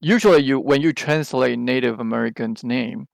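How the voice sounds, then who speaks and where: Gravelly man. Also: mid-range voice, normal speed, no echo. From Taiwan. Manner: rambling, with many restarts and hesitant fillers. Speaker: podcast co-host. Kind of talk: casual chat.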